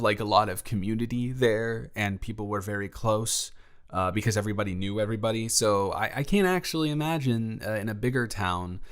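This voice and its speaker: A man's voice: lowish voice, natural voice. A young man playing a shy, sweet college freshman in a romantic audio roleplay, stammering and rambling with earnest self-deprecation. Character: shy, nervous, sweet young male college freshman from small-town Georgia. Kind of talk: romantic audio roleplay, one-sided conversational acting.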